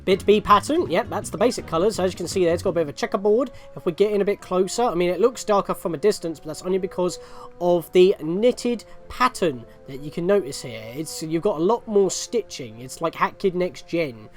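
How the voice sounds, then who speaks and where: A man gives casual gaming commentary as the host of a video. His pitch moves around in a very animated way while he rambles through chatty asides. Faint music plays behind his voice. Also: a little fast. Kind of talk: casual let's play commentary.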